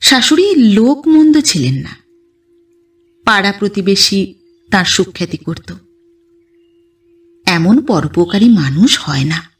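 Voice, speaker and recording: A woman narrates a literary tale at a slow, unhurried pace. Her delivery is measured and expressive, in the manner of an audio storybook. She sounds a little away from the microphone. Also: medium pitch, far from the mic. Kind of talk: scripted literary narration in first person.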